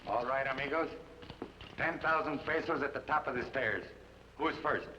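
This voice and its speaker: Guy acting as a polite menacing bandit boss, mexican accent, bright tone, dull old radio sound w/ faint hiss. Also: mid-range voice, moderate pace, natural voice. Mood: mocking polite. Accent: put-on Mexican Spanish. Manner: mocking courtesy. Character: suave menacing male bandit captain. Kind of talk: 1950s radio drama acting.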